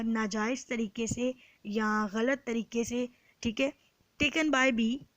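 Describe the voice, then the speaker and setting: A woman with a Pakistani accent giving an explanatory lecture in a deliberate, instructive way, repeating points in other words. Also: on the high side, dry recording, low-quality recording. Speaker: law lecturer. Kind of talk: explanatory exam-prep lecture, reading and translating.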